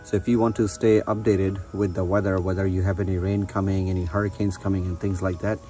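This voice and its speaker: A man's voice: deep voice, level tone, fast, dark tone, faint music behind. A man in his thirties giving a casual product review outdoors, explaining features conversationally and repeating himself. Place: outdoors on a residential front porch.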